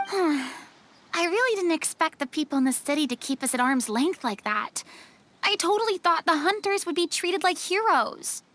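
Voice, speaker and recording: A woman playing an earnest, friendly young woman in a video game, chatty and expressive. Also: extremely high pitch, moderate pace, a little hiss or hum.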